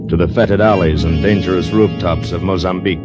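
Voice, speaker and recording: Deep-voiced radio announcer, loud music behind. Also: male voice, steady tone. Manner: grave, theatrical, deliberate. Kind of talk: dramatic 1940s-50s radio narration. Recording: dull old radio recording, loud music.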